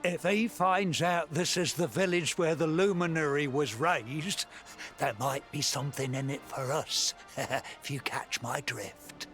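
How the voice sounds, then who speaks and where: A man's voice: on the high side, unhurried, a bit bright, faint music behind. A man playing a chatty, jovial, slightly scheming country village elder in a video game, with a folksy, theatrical manner. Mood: jovial, sly. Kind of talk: scripted video game voice acting.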